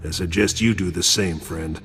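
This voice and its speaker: An actor playing a gruff, disgruntled rival bounty hunter in a video game. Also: male voice, low voice, fairly even intonation, normal speed, fairly bright tone. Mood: indignant. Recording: reverberant, with background music.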